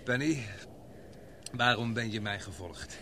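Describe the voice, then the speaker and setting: A man acting in a Dutch radio drama as an anxious, protective adventurer, with halting, dramatic phrasing. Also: mid-range voice. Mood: grave, caring. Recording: noisy old radio play, loud background ambience.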